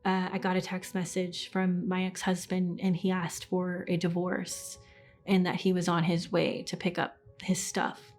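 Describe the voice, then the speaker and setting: Woman, 30s, interviewee, earnest and even, faint music bed. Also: on the low side, measured pace. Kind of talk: reflective interview.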